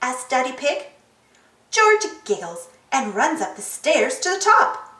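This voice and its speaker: A woman reading a children's storybook aloud as an expressive narrator and host. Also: high voice, unhurried, reverberant, distant mic. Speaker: storybook reader, channel host.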